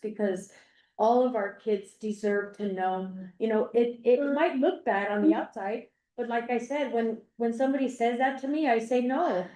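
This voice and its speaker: A woman's voice: fairly high pitch, dark tone. Earnest grandmother at a public comment mic. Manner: earnest, rambling, repeats herself. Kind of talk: public comment at board meeting. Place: school board meeting room. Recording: echoey meeting room.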